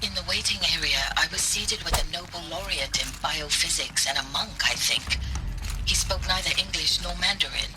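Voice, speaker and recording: An actress playing a bewildered, distressed art historian in a video game, speaking in a halting, questioning, pleading way. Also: female voice, fairly low pitch, noisy background, lightly acted. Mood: distressed, confused. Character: bewildered, distressed woman art historian recruited against doom. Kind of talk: dramatic video game acting.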